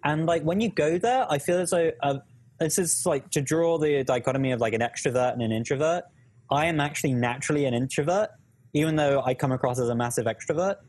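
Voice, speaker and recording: A young man who is a guest on a live Q&A, speaking in a measured, thoughtful way. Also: a little high, a little mellow. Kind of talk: casual live-stream chat.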